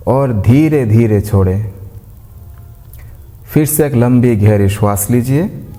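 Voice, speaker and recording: Slow-speaking man guiding meditation in long reverb. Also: mid-range voice. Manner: gentle, unhurried instruction with short pauses between phrases. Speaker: meditation guide. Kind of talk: guided meditation narration in a devotional tone. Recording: long reverb, like a large hall.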